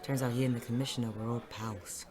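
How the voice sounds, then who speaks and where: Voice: mid-range voice, fairly even intonation, quick pace, a crowd in the background, natural voice. An actor playing a weary, cynical hard-boiled private detective in a noir audio drama, wry and sardonic. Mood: jaded, brooding. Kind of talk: 1940s noir audio drama acting and narration.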